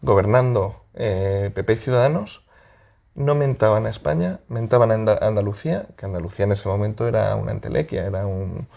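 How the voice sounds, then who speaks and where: A man from Madrid with a Spain accent, answering as a political interview guest in a halting, conversational way, searching for words. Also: on the low side, vocal fry, warm tone, muffled recording.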